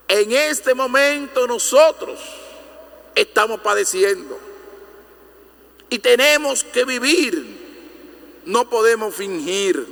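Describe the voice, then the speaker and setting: A man's voice: high voice, vocal fry, pushed and bright. A man preaching a sermon in an emphatic, oratorical manner, using rhetorical questions and repetition. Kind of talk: Good Friday sermon. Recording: long echoing reverb of a large hall.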